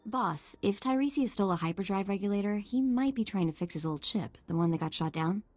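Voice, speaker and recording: An earnest young woman, the sidekick in a video game, voiced by an actress. Her medium-pitched voice moves up and down a lot as she speaks. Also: expressive, brisk, darkish tone, no room sound, natural voice. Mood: eager. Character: young female sidekick to a bounty hunter.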